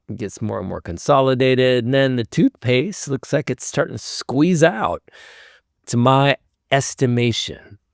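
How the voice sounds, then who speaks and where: A man hosts a relaxed chart walkthrough, his voice hoarse from a cold. He muses aloud in a loose, easygoing way at a middling pitch. The sound is dry and close, with no room around it. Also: no room sound. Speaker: host. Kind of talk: casual chart commentary.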